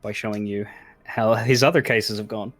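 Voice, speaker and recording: A man co-hosting a stream, casually analysing game stories in a halting, thoughtful way and repeating words as he goes. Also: fairly low pitch, fairly even intonation, fairly bright tone, no echo. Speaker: co-host of a game discussion stream.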